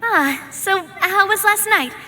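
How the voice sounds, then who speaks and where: A woman's voice: very high voice, slow, pushed and bright, slight background noise, exaggerated voice. A woman voicing a cheerful, gracious young female boss in a video game, breezy and friendly. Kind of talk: video game character acting. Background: music.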